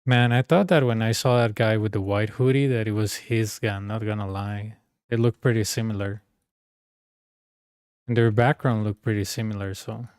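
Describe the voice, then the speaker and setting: Low-pitched man chatting casually on stream. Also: fairly even intonation, moderate pace, darkish tone, clear, resonant tone, no room sound, studio quality. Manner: informal, slangy banter, switching between Spanish and English. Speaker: live streamer. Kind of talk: casual gaming stream chat.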